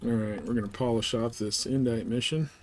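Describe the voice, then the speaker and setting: A man's voice: medium pitch, fairly even intonation, brisk, darkish tone. Folksy man chatting through a game stream. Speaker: game livestream host. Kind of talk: casual gameplay commentary, chatting to viewers.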